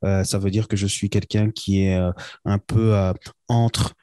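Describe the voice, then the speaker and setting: A man's voice: lowish voice, flat delivery, unhurried, dark tone, clean tone, dry recording, studio quality. A man from Belgium, a consultant guest in an interview, talking casually and hesitantly with many fillers and restarts.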